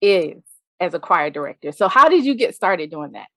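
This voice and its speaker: A woman hosting a podcast interview in casual chat, bubbly and quick to laugh. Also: lowish voice, very animated, pushed and bright, no room sound. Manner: bubbly, laughs often, addresses listeners as y'all. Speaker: podcast host.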